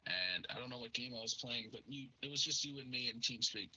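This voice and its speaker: A man's voice: mid-range voice, fast, pushed and bright, breathy edge, dull audio. A man co-hosting a casual podcast, telling a story in a friendly way and leading up to a question. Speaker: podcast co-host.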